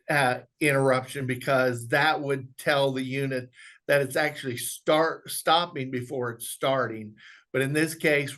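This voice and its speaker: A man presenting an instructional software walkthrough, explaining step by step in a conversational way. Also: mid-range voice. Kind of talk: instructional screen walkthrough, informal webinar.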